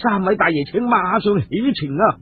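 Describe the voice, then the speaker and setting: A man's voice: high-pitched, expressive, moderate pace, breathy edge, exaggerated voice. A man voicing a flustered, loyal guard in a Cantonese storybook tale, urgent and deferential. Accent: Hong Kong Cantonese. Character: flustered loyal young male guard bringing urgent news. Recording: tinny radio-like sound with music behind.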